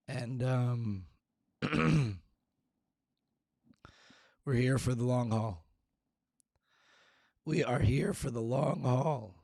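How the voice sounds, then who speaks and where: A man hosting a live stream, his voice hoarse and raspy, talking low and clearing his throat, making fun of how he sounds. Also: darkish tone, dry recording, studio quality. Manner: self-deprecating, frequent throat clearing. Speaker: show host. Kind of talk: casual live-stream chat.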